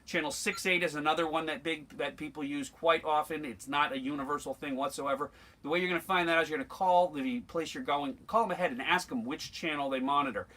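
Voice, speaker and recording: A man's voice: a little high, fairly quick, hardly any pauses, sharp tone. An American man giving an informal, plain-spoken instructional demonstration as a sailing instructor, emphatic and repeating his points.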